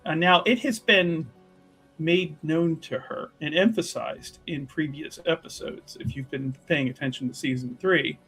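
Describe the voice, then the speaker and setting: Man, tabletop player, fairly high voice, unhurried, halting improvised narration, wordy, faint music behind. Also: a bit bright, slightly rough, poor audio. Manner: halting, wordy, often trailing off and restarting. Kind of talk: casual improvised narration of a character's actions.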